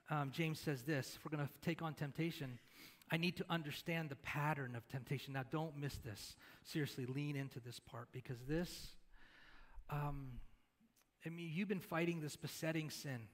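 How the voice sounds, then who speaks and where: A middle-aged pastor with a fairly high voice preaches on a church stage. He talks conversationally, tossing questions to the congregation and gesturing as he moves. His voice comes through a headset mic. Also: steady tone, normal speed. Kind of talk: sermon.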